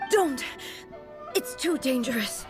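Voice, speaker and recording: A woman voicing a dignified, stoic female shogun in an anime video game, formal and measured. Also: high-pitched, animated, over music. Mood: alarmed.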